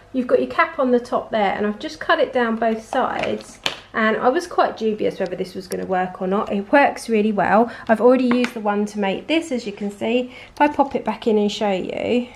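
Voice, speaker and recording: A woman in her forties with an English accent, hoarse from a cold, chatting upbeat through a home recipe tutorial. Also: a little high, a little hiss or hum. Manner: chatty and upbeat, rambling asides. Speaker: home cooking vlogger.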